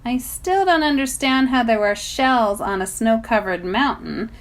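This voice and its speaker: Storybook kid brother, acted by a woman: young, blunt, questioning, higher voice, animated swings. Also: measured pace. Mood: puzzled. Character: young boy, blunt, curious and excitable little brother.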